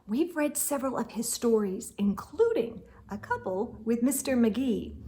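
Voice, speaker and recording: A woman's voice: a little high, slight background noise. A woman reading a children's picture book aloud as a storytime host, with theatrical, suspenseful delivery and little asides. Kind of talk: expressive children's read-aloud narration.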